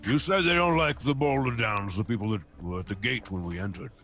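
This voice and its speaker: Man, tabletop roleplayer, in character; slow, deliberate, clipped lines and thoughtful hums. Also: on the low side, a bit bright, faint music behind. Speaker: player.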